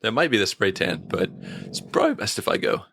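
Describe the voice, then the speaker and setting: A man chatting casually as a podcast guest, with a deadpan, joking manner. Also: on the low side, brisk, a little hiss or hum. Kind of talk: casual chat.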